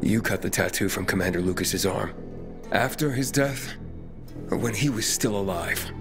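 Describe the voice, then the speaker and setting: A man's voice: on the low side, normal speed, close to an everyday voice. An actor playing a tough young male survivor in a video game, blunt, confrontational and accusing. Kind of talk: dramatic video game acting. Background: game music.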